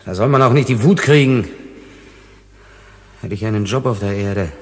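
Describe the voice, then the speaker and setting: Grudging bitter colony worker guy, sci-fi radio drama, low husky voice, long echoey reverb. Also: normal speed. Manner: gruff, grudging. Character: bitter, distrustful male colony worker and strike spokesman. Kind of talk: German radio play acting.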